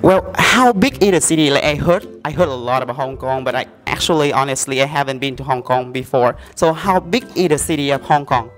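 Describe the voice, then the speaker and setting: A man's voice: medium pitch, a little fast, hardly any pauses, fairly bright tone, faint music behind. A young man with a Vietnamese accent, a native Vietnamese speaker, hosting a casual interview. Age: young adult. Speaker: host, English learning presenter. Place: indoor café-like open room. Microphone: handheld lavalier mic.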